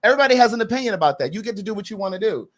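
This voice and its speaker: A man's voice: high-pitched, expressive, rapid-fire. A man in his forties hosting a casual chat, stressing his points by repeating them and laughing heartily. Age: 40s. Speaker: podcast host.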